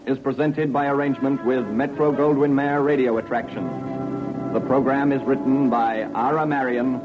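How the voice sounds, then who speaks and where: A man's voice: medium pitch, steady tone, moderate pace. A man announcing formally on an old radio programme, in a vintage broadcast style. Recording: muffled old radio recording. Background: music.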